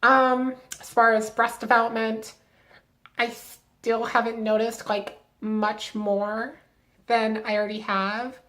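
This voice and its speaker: Young woman vlogger, a touch high-pitched, chatty and casual, giving upbeat tips. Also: level tone, takes it slowly, fairly bright tone. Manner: chatty, lots of "like" fillers, upbeat advice-giving. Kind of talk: casual to-camera vlog.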